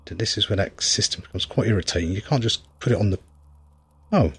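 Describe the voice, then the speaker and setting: Male gameplay commentator, slightly deep and husky, dry humour, loose off-the-cuff delivery. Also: fairly quick, dry recording. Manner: wry, rambling, thinking aloud. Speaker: game reviewer and player.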